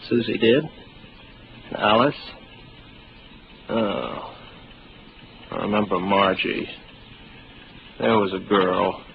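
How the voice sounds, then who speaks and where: An actor playing a lonely, sardonic young space officer in a 1950s radio drama, talking in a dry, rambling way. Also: male voice, lowish voice, fairly even intonation, extremely slow, vocal fry, long pauses, exaggerated voice. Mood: confiding. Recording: dull old 1950s radio broadcast with hiss.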